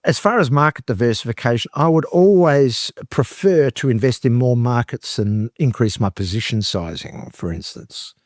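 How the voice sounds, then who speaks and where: Male guest on an interview podcast, measured and deliberate delivery; mid pitch, dry sound. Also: lively intonation, no echo. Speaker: guest expert. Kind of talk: conversational interview.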